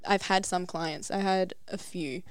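A woman's voice: lowish voice, steady tone, a little slow, slight background noise. A woman who works as a child therapist, chatting casually and often trailing off with ums. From Australia. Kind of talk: casual chat.

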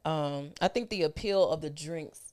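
A woman's voice: low voice, moderate pace, a little mellow, smooth. An older woman co-hosting a podcast, chatting casually, blunt and dry in her teasing. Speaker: podcast co-host. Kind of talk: casual chat.

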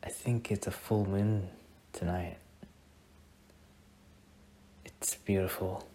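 A young man playing a protective, affectionate boyfriend in an ASMR roleplay, speaking softly and intimately with pauses. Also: sits low, fairly even intonation. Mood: tender, wistful. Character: protective, tender, popular young boyfriend on a date. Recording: clearly noisy recording with ambient sound.

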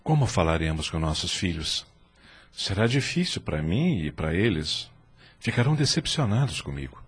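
An actor playing a humble, anxious middle-aged husband in a radio drama, with a Brazilian accent and a contrite, heartfelt delivery. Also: male voice, low-pitched, big pitch swings, moderate pace, husky, heavily acted. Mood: remorseful, grateful.

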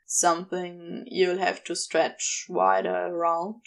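Woman as male demon, audiobook character, low hard creaky voice, slow, seductive, playfully bossy. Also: monotone, far from the mic, heavily acted. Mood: low, commanding. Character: male demon, teasing, commanding, seductive lover.

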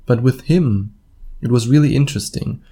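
A man's voice: mid-range voice, moderate pace, warm tone. A man with a German accent hosting a solo podcast, speaking informally and bluntly straight to the listener. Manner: informal, blunt, swears casually, acts out imagined quotes. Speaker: podcast host and dating coach. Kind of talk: solo podcast monologue, casual direct address.

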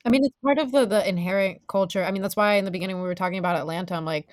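A woman's voice: mid-range voice, racing, no echo, clean studio sound. A woman who grew up in Miami, hosting a casual interview and speaking candidly, casual swearing and all. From Miami, United States. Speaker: podcast host.

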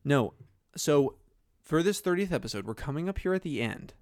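A young man co-hosting a podcast, animated and joking, often laughing. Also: a little high, no echo, studio quality. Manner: animated, laughing, quick jokey asides. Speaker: podcast co-host. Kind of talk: casual podcast chat.

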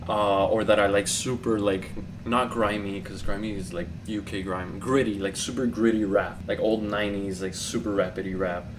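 Low-voiced young man, distant and noisy. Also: moderate pace. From Colombia. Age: 20s. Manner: relaxed, chatty, with many fillers. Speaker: podcast guest. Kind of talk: casual conversation. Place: home studio room.

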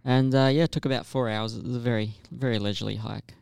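A man co-hosting a casual podcast, upbeat and chatty, quick to react. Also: medium pitch, fairly even intonation, creaky, darkish tone, clear, resonant tone, no echo. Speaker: podcast co-host.

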